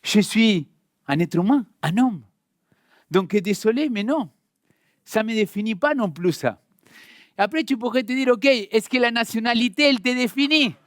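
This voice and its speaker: A man's voice: sits high, animated, moderate pace, dry recording, clean studio sound. A man from Argentina with a light Argentine accent, preaching a sermon and talking directly to his congregation in a friendly, conversational way. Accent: Argentine Spanish. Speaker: pastor. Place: church hall.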